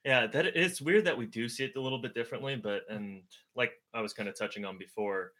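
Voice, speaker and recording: A man's voice: medium pitch, fast talker, sharp tone. A young man whose first language is Mandarin, talking earnestly and reflectively as a guest in a casual livestream chat. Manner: reflective, earnest, many fillers and restarts. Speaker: guest, older brother. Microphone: video livestream.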